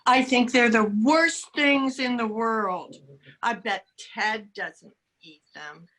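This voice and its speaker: Woman acting an eager, slow-spoken young boy. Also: fairly high pitch, a bit bright, low-quality recording. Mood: eager, earnest. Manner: wide-eyed, earnest, boyish. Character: eager, naive young boy, admiring and chatty. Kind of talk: radio play acting.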